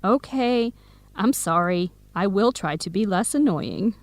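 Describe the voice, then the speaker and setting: An actress playing a teasing, protective young American woman in a radio drama, wry and clearly enunciated. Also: mid-range voice, animated, unhurried, dry recording, natural voice. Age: young adult. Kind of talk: scripted radio drama dialogue.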